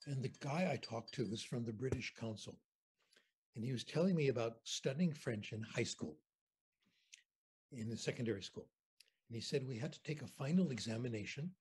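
An older American man, a guest on a video call interview, telling anecdotes in an animated, conversational way from a home room. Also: medium pitch, slightly rough. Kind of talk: storytelling lecture on a video call. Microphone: video call webcam.

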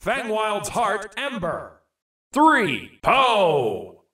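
A hyped arena announcer for a fighting video game. He is theatrical and emphatic, swinging widely in pitch and stretching out each short call very slowly, with a roomy echo around him. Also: huge pitch swings, pushed and bright, distant mic, exaggerated voice. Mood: bombastic, hyped. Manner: theatrical, drawn-out, emphatic delivery.

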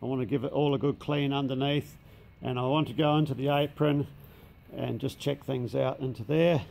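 A plain-spoken Australian man at a middling pitch, with a gravelly, creaky edge to his voice. He talks a viewer casually through his machine shop, dropping in a bit of slang. He is caught on a handheld camera inside a large workshop shed, with faint background noise. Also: steady tone, moderate pace, darkish tone. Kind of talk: casual walkthrough narration.

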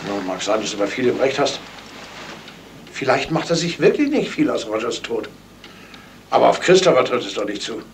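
An actor playing a sleuth's loyal, doubting confidant in a 1970s German radio crime drama. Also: male voice, mid-range voice, animated, normal speed, pauses often, natural voice. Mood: doubtful. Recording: slightly noisy old radio recording.

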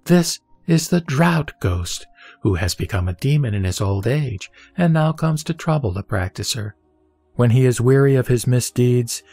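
Low-voiced man reading scripture. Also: breathy edge, no room sound. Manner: measured, steady recitation. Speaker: scripture reader. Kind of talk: solemn scripture reading over music. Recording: soft background music.

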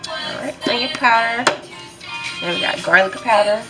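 A woman's voice: a little high, lively intonation, normal speed, music in the background, poor audio. A young adult woman with a Southern US accent, talking casually and informally to viewers as a vlogger. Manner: informal, conversational, addressing viewers as y'all. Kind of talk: casual vlog chat to viewers.

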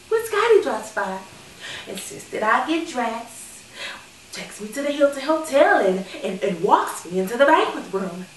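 A woman performing a stage monologue as a sassy, candid retired call girl who reminisces fondly with playful, dramatic pauses. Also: fairly high pitch, a bit bright, full character voice. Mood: amused. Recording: echoey room, distant mic, background noise.

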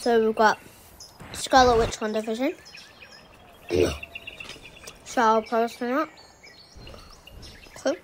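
A woman's voice: on the high side, steady tone, unhurried, a little hiss or hum. A young woman giving casual, offhand commentary while playing a video game. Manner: chatty, offhand, wandering. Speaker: gamer.

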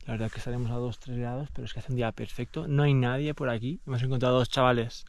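A man's voice: medium pitch, steady tone, very fast, dry recording. A young man with a European Spanish accent doing casual, slangy, enthusiastic commentary for an outdoor vlog. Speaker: vlogger. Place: outdoors on snowy mountain slopes.

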